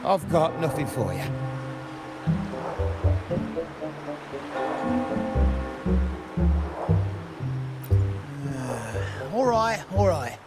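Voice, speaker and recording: A man's voice: fairly high pitch, big pitch swings, drawn-out words, pauses often, heavily acted. An actor playing a shifty, grudging informant in a video game, evasive and grumbling as he speaks. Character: shifty, grudging male informant angling for payment. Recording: very loud music behind the voice.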